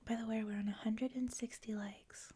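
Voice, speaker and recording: A woman with a Canadian accent, a native French speaker, hosting a livestream in a hushed, whispery ASMR style, chatting casually with viewers. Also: on the high side, steady tone, moderate pace, slightly rough. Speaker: livestream host. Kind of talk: soft-spoken ASMR, casual chat with viewers.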